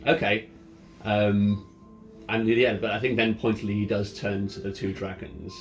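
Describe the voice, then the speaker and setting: A man casually narrating as game master at a tabletop game, often halting and repeating his words. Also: fairly low pitch, flat delivery. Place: in-person tabletop game session. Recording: clear music behind voice.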